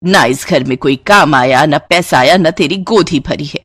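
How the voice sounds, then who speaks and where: Bitter, tight-fisted mother-in-law for a moral tale, a woman acting: raspy-edged, dismissive, scolding, recorded very dry. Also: deep voice, normal speed, no room sound. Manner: carping, sarcastic, dismissive. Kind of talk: moral story dialogue.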